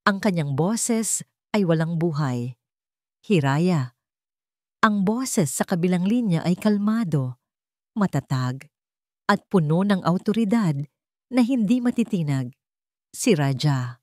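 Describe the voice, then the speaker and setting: A woman's voice: on the low side, animated, a little slow, pauses often, a little mellow, no room sound, studio quality. A woman reading a scripted dramatic story as its narrator.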